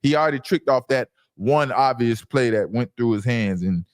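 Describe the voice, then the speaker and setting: Animated, insistent man talking sports. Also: mid-range voice, normal speed, no room sound, studio quality. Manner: emphatic, insistent, repeats phrases for stress. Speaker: sports commentator and show host. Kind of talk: opinionated sports reaction talk.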